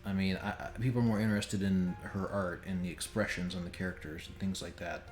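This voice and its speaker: A man's voice: low voice, steady tone, fast. A man giving rambling, opinionated, casually sweary commentary as he streams a game. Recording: roomy sound under game audio. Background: game music.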